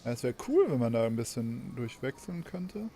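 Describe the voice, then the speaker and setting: Casting: gameplay streamer, medium register, highly varied intonation, casual off-the-cuff commentary. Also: sing-song, moderate pace, mellow. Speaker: video game streamer.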